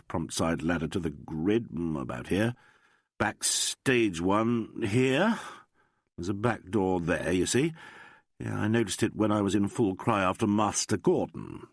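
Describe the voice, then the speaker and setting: A man voicing an urbane gentleman detective who talks quietly in a musing, wry way. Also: on the low side, expressive, measured pace, no echo, studio quality.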